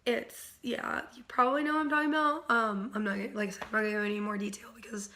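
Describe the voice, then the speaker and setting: A woman with a bright, slightly high voice, still worn from a recent illness. She rambles through a casual book review, full of ums and likes and animated asides. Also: rapid-fire, a little hiss or hum.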